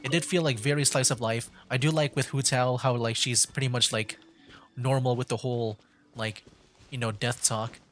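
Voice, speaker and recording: Casual male streamer, medium pitch. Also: steady tone, moderate pace. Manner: meandering, mild, thinking aloud. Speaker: streamer, VTuber. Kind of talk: casual gaming livestream commentary.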